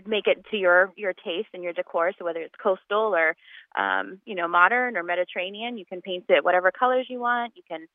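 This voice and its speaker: A woman's voice: fairly low pitch, animated, brisk, dry recording. A woman born in Poland, a guest talking casually about fundraiser events, chatty with many ums and restarts. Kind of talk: casual radio interview by phone. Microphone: phone line. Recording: tinny phone line.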